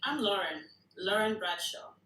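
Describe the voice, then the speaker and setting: A woman's voice: medium pitch, animated, unhurried, bright, forceful tone. An actress reading a polite, apologetic female newcomer at a screenplay table read. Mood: polite, flustered. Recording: noisy, roomy remote recording.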